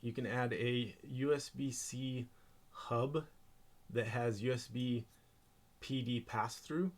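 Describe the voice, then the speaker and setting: A man presenting an informal hands-on tech tutorial, speaking haltingly as he thinks aloud while handling gear. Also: mid-range voice, steady tone.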